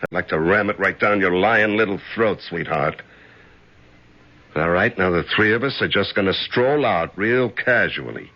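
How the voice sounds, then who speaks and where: A man's voice: low-pitched, normal speed. An actor playing Vic, a cool and threatening male crime boss in a 1970s radio drama. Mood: menacing. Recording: muffled old radio broadcast.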